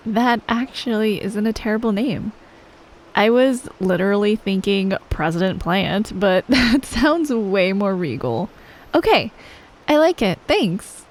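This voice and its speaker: A woman playing a shy, awkward young best friend in an ASMR roleplay, hesitant and soft-spoken, speaking straight to the listener. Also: on the high side. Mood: playful. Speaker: audio roleplay performer.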